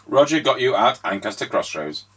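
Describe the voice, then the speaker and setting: A man's voice: on the low side, sing-song, fairly quick, fairly bright tone, husky. A man giving casual demonstration commentary as a radio repair host and operator.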